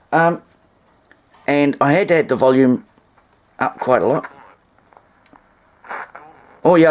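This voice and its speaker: A New Zealand man talks in a fairly high voice. He rambles casually through a hands-on demonstration with a wry sense of humour. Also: darkish tone. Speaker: hobbyist presenter.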